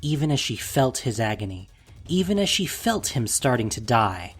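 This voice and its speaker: A man narrating a scripted fantasy audio drama in a dramatic, deliberate way, with a breathy voice. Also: medium pitch, sing-song.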